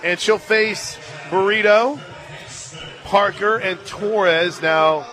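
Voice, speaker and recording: A man's voice: sits high, slow pace, pushed and bright. An American man doing live play-by-play sports commentary on the radio from a stadium booth. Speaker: play-by-play announcer. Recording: faint music and crowd noise behind. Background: ballpark crowd and faint music.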